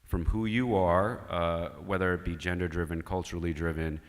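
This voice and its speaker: A man with a low voice leads a panel discussion at a conference. He talks in a measured way and keeps asking the audience and panelists questions. His voice rings out with the long reverb of a large hall. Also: fairly even intonation. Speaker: panel moderator.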